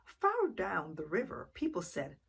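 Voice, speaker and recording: Female storytime reader: lowish, husky, expressive, addressing young children. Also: unhurried. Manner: asks the young listeners questions.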